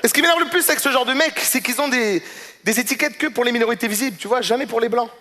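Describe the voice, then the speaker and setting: A man's voice: very high-pitched, fast talker, pushed and bright, in a large hall. A man performing stand-up comedy, telling stories conversationally and addressing the audience directly. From Morocco or Moroccan family in Quebec. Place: comedy gala stage.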